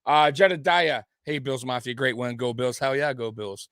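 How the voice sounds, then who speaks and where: Tipsy guy hosting a sports recap show, bright punchy voice, rambling, reading the chat. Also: medium pitch, moderate pace, clear, resonant tone, no echo, clean studio sound. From Detroit area. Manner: loose, rambling, talks to the chat. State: a little tipsy. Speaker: host.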